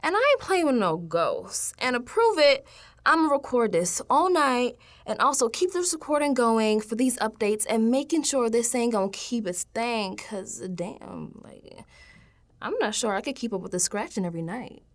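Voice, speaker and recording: A woman acts out a spooked but streetwise young woman, confiding in an audio diary. Her voice sits high and leaps around in lively swings. The delivery is slangy and conversational, in the manner of a first-person radio drama. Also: moderate pace, close to an everyday voice. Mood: wry, exasperated. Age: young adult. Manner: slangy, conversational, dropping into hushed asides.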